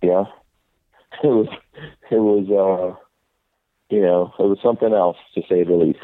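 A man's voice: sits low, fairly even intonation, a little slow, dry recording. Man talking over a tinny phone line. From New York City. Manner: easygoing, reflective, rambling with restarts. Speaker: guest, YouTuber. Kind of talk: casual interview chat.

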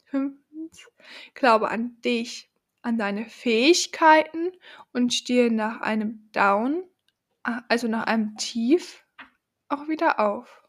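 A woman hosting a podcast, talking slowly and thoughtfully to the listener, her voice deepened by a cold. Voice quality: lower than usual from a cold. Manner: slow, thoughtful, addresses the listener directly. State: has a cold. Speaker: podcast host. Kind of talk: reflective podcast monologue.

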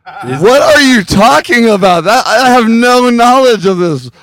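A male comedian guest joking on a podcast, often with deadpan, mock-formal denials. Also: extremely high pitch, unhurried, no room sound, rough recording. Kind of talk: casual banter on a podcast.

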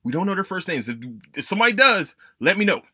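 A man's voice: sits high, very animated, fast talker, bright, forceful tone, slightly rough, no room sound, studio quality. A young adult man hosting a casual solo podcast, rambling, swearing and correcting himself as he goes. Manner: rambling, profane, self-correcting. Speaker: podcast host.

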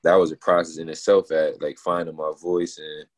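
A man, a rapper from Newburgh, New York, talking casually in an interview with a naturally deep voice, full of slang and "you know what I mean" fillers. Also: little pitch movement, normal speed. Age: adult, not young. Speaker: rapper guest.

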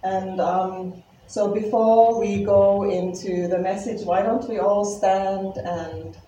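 A woman's voice: medium pitch, steady tone, slow, mellow, a little hiss or hum. A woman from Finland with a Finnish accent, giving her first sermon humbly, with light self-deprecating humor and some hesitant fillers. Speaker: lay preacher. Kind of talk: sermon introduction. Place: church hall. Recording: echoey church hall, distant mic, faint ambience.

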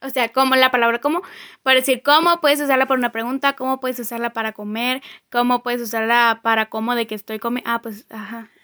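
A woman's voice: a little high, fairly even intonation, brisk, sharp tone. A young woman, chatting casually, rambling and correcting herself as she goes. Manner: rambling, self-correcting, laughing. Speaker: co-host, sister. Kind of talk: casual chat.

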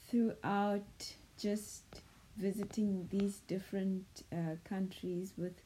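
A woman's voice: on the low side, mellow, poor audio. A woman from South Africa whose first language is Sepedi, co-hosting a casual podcast chat and talking hesitantly, with many fillers and restarts. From South Africa (Limpopo). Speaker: podcast co-host.

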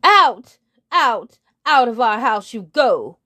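A woman voicing a snobbish, haughty head bird with a pompous, drawn-out way of speaking. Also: mid-range voice, big pitch swings. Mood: furious.

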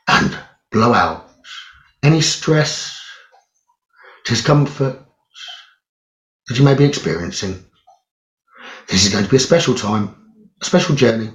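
Male meditation guide, mid-pitched, very unhurried and soothing, with a dull, echoing lo-fi recording. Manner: soothing, measured, with long pauses. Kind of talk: slow guided meditation narration. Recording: roomy echo, dull lo-fi sound.

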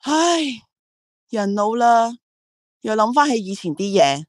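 Lively Hong Kong Cantonese agency boss woman. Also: a little high, takes it slowly, no echo, clean studio sound. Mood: reflective. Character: driven female ad agency boss, proud, reflective. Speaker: radio drama actress. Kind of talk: radio drama dialogue and monologue.

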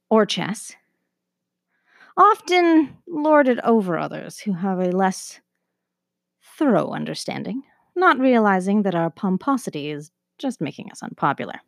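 A woman's voice: medium pitch, dry recording, clean studio sound. A woman, around her thirties, narrating a scripted podcast as its host in a wry, self-deprecating way.

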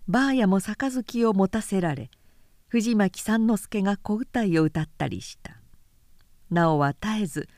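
A woman narrator reading literary fiction aloud in a measured, expressive storytelling manner. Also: mid-range voice, no echo, studio quality. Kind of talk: literary audiobook recitation.

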